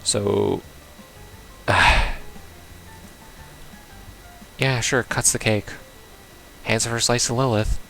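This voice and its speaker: A man's voice: medium pitch, fairly even intonation, measured pace, creaky, fairly bright tone, faint music behind. A man giving casual let's play commentary on a visual novel, reading game text aloud and reacting in a bemused, exclamatory way. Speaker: gamer playing a visual novel.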